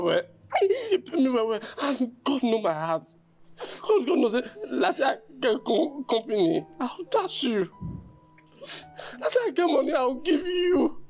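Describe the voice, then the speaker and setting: A woman's voice: sits high, heavily acted. A woman with a Nigerian Pidgin accent playing a house worker who questions and coaxes a crying colleague. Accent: Nigerian Pidgin English.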